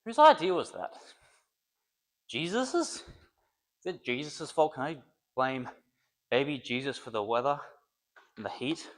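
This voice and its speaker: Lively man rambling through a workout. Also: medium pitch, unhurried, long pauses. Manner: rambling, joking, thinks aloud between sets. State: slightly congested from a cold. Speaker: personal trainer. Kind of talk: casual workout vlog talk.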